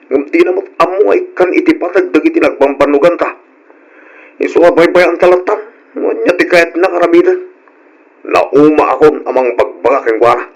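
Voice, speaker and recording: A man's voice: on the high side, normal speed. A man playing a grumbling, disappointed middle-aged father in an old-style radio drama. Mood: exasperated, disappointed. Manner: complaining, exasperated. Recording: dull, lo-fi old radio recording.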